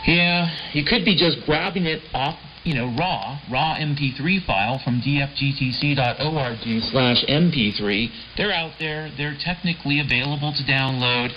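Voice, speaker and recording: A man's voice: on the high side, normal speed, few pauses, a little hiss or hum. A man with an American accent hosting a rambling late-night shortwave show in a wry, laid-back way, repeating phrases and tossing out rhetorical questions. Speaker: shortwave radio show host. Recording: dull lo-fi sound under prominent keyboard music. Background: piano-like keyboard music.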